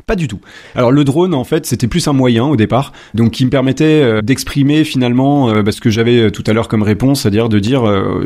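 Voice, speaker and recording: Hesitant French man in his forties, quick. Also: medium pitch, a little mellow. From France. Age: 40s. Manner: hesitant, many fillers, measured. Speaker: company founder. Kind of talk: interview answers.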